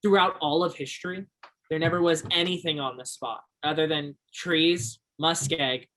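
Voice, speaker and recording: An actor playing an earnest, curious twenty-two-year-old backwoods local in a stage play, gentle and simple in manner. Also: mid-range voice, fairly even intonation, moderate pace, natural voice, poor audio. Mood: matter-of-fact.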